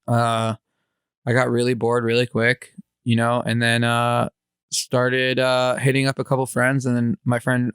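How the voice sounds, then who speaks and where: A man's voice: medium pitch, fairly bright tone, clear, resonant tone, no room sound, clean studio sound. A man, chatting casually as a podcast co-host in laid-back, slangy talk. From grew up in Los Angeles area, California, born in Israel.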